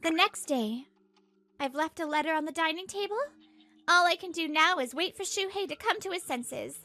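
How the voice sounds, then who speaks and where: Woman acting an earnest high-pitched young wife. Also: animated, normal speed, pushed and bright, faint music behind, full character voice. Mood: reflective. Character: earnest pregnant wife and narrator, fed up but hopeful. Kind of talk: scripted manga dub narration and dialogue.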